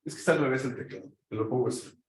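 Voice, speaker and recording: Informal teacher's voice heard from far off. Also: male voice, mid-range voice, rapid-fire. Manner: informal, coaxing students to come closer. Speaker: university teacher, host of the session. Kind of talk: casual classroom hosting. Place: classroom. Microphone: far room mic. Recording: echoey classroom from far off.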